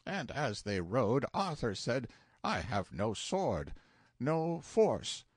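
An ancient, solemn wizard, as a man narrating an audiobook plays him. He drones through an old legend slowly, in archaic storybook phrasing. The recording is dry and close. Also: medium pitch, very animated, slightly rough, dry recording, full character voice. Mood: droning solemnity. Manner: slow, droning, archaic storytelling.

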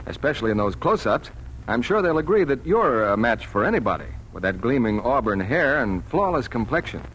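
Polished, lively 1940s radio announcer man. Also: medium pitch, brisk, rough recording. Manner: polished, persuasive. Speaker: commercial announcer. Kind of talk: 1940s scripted radio advertising. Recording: old radio recording, faint noise.

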